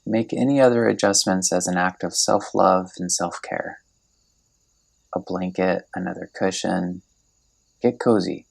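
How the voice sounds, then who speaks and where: A man leading a guided meditation as scripted narration, speaking gently and soothingly, unhurried and with long pauses. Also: low-pitched, fairly even intonation, gravelly.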